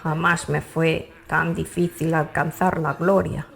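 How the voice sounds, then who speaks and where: A woman's voice: low voice, little pitch movement, slow pace, vocal fry, heavily acted. A woman narrator voicing cruel, gloating monks in a pompous, declamatory way for an audiobook. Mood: boastful. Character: cruel, gloating libertine monk, a grown man.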